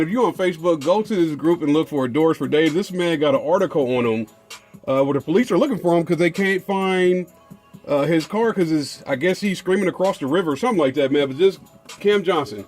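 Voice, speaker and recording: A man's voice: a little high, a little fast, soft background music. A man, chatting casually as he unboxes car audio gear, rambling with lots of fillers and restarts.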